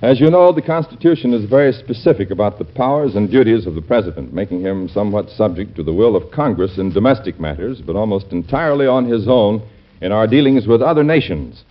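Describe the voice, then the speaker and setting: A man's voice: lowish voice, expressive, brisk. A man announcing in a formal, earnest and emphatic 1940s radio style. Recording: dull vintage radio recording.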